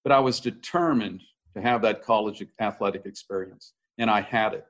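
An older American man, a podcast guest, telling candid, self-deprecating stories from his life in a relaxed interview. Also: fairly low pitch, moderate pace, lo-fi sound. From United States. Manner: candid, self-deprecating storyteller with frequent restarts. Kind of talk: casual conversational interview.

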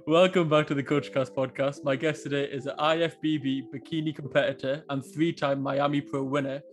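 A man's voice: fairly high pitch, little pitch movement, brisk, soft background music. A man hosting a podcast, welcoming a guest and asking questions with many ums and uhs. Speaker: podcast host. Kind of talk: casual interview.

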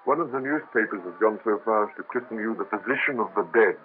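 Cast: man as a grave common man, lowish voice, earnest, old radio drama. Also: fast, natural voice. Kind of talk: 1930s radio drama acting. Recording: tinny old radio with hiss.

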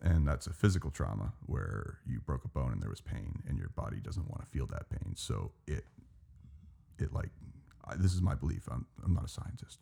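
A man with a very low, gravelly voice talks in a relaxed, casual podcast chat. His pitch rises and falls a lot as he explains things, and he drops the odd swear word. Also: normal speed. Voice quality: deep voice. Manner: laid-back, swears casually, laughs often. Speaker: podcast co-host.

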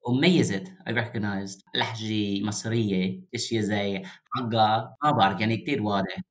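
A man's voice: on the low side, steady tone, normal speed. Hesitant man with a Levantine learner accent. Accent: mixed Jordanian and Lebanese Arabic, as a learner. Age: young adult. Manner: hesitant, searching for words, mixes in English. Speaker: podcast host, Arabic learner. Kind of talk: casual chat.

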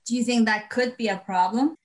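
A woman's voice: fairly high pitch, a little fast. A woman acting as interviewer, asking short questions that prompt the teacher.

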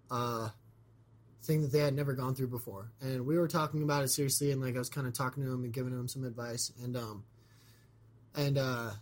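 Scratchy-voiced male podcast host. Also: mid-range voice. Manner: rambling, lots of fillers and restarts. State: hoarse after being sick. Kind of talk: casual chat.

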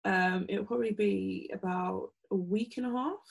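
Croaky young woman, relaxed and hesitant. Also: medium pitch, moderate pace. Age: young adult. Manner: relaxed, hesitant, many ums. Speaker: podcast co-host. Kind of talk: casual chat.

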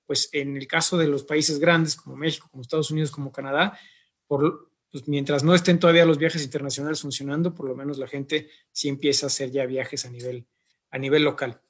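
A man hosts an interview in a slightly husky voice that sits a touch high for a man. He talks in an unhurried, hesitant way, often pausing to find his words. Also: level tone. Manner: unhurried, hesitant, fills pauses with eh and pues. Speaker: podcast host.

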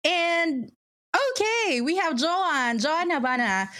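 A woman hosting a live podcast in casual chat, bubbly and quick to laugh, her voice a bit squeaky today. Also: sing-song, sharp tone. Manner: bubbly, laughs often, greets viewers one by one. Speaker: podcast host. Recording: live-streamed video call.

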